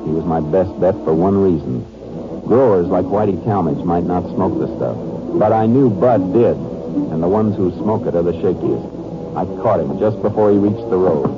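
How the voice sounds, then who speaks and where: A man's voice: sits low, normal speed, muffled recording, loud music behind the voice, natural voice. A man playing a shrewd, terse Texas Ranger investigator in an old-time radio western crime drama, on a noisy old recording. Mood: terse narrating.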